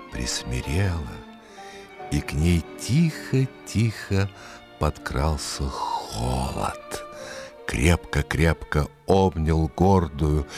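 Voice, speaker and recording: Slow, deep-voiced male storyteller. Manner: dramatic, measured, savouring words. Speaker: storyteller, narrator. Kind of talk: expressive scripted fairy-tale narration.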